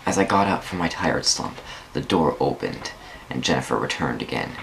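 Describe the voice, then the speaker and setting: Very deep, gravelly man reading dramatically. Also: expressive, normal speed, a bit bright, slight background noise, low-quality recording. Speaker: amateur dramatic reader of online fan fiction. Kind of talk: dramatic reading of first-person story narration.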